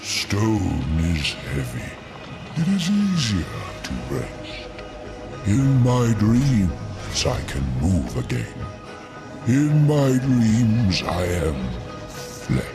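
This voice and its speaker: An actor playing an ancient, weary, gentle stone giant in a fantasy game, with a deep gravelly voice and ponderous, halting speech. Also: male voice, wildly swooping pitch, exaggerated voice. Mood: weary, dreamy. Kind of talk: fantasy video game acting. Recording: music behind the voice.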